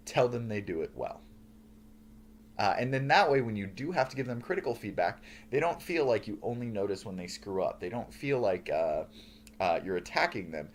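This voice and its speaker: A male professor with a loud, booming voice, giving an earnest lecture on feedback with lots of "uh" and "um" fillers. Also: a little hiss or hum. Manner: earnest, lively, frequent "uh" and "um" fillers.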